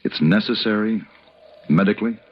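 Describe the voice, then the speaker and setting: An actor playing a cool, wry western gunman in an old-time radio drama, laconic and measured. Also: on the low side, lightly acted. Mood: steady. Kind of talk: old-time radio western acting and first-person narration. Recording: dull, noisy old radio recording.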